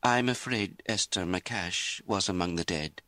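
A man's voice: low voice, unhurried, pushed and bright, no echo. Man as an earnest, formal clergyman. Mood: apologetic. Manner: courteous, formal. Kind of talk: audiobook character acting.

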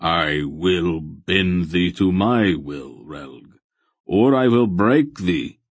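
A stern, ancient god as a male narrator plays him, speaking in a very low, slightly rough voice. He is grave and majestic, issuing archaic commands slowly and solemnly in a fantasy storybook. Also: animated, no room sound, exaggerated voice. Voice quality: soft, solemn voice.

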